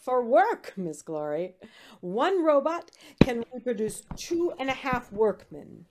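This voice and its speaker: A woman plays a curt, businesslike technical manager in an old-time radio play. Her voice is fairly high with lively intonation. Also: slow pace, noisy background, exaggerated voice. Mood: dismissive.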